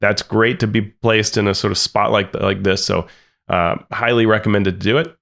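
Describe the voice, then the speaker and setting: A man with a lowish, gravelly voice full of vocal fry co-hosts a podcast. He passes on news and announcements informally. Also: steady tone, moderate pace, fairly bright tone. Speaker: podcast co-host.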